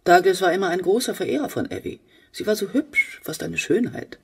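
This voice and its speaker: An actress playing a genteel, brittle older widowed mother in a German radio play, with a husky voice. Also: lowish voice, lively intonation, fairly quick, recorded from a distance, full character voice. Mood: brittle, wistful.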